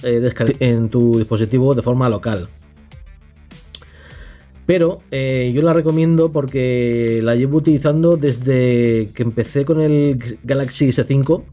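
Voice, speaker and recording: A man with a Castilian Spanish accent talks through a tech review in an informal, off-the-cuff way. His voice is mid-pitched and mellow, with music playing faintly behind him. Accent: Castilian Spanish from Spain. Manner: informal, unscripted, tags phrases with questioning checks. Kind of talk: casual spoken app review.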